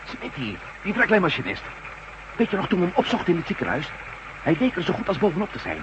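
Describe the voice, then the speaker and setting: An impulsive male reporter-adventurer in a radio play. The pitch swings in a lively way, and the old recording is dull with clear background noise. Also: fast talker. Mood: excited. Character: impulsive, decisive ex-astronaut reporter turned adventurer. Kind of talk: radio drama acting.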